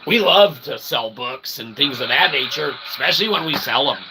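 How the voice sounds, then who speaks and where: Guy hosting a show, rambly n mock-formal, higher gravelly voice, bit of background noise. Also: animated, normal speed. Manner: jokey, theatrical, mock-formal wordy phrasing. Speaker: show host and author. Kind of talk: rambling show sign-off addressed to audience.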